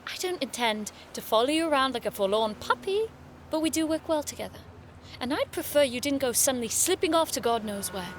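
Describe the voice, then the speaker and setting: Casting: sharp-witted young noblewoman student, high-pitched, dryly sardonic, audio drama, slight noise behind. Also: lively intonation, fairly quick. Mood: wry, insistent. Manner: arch, dry, teasing.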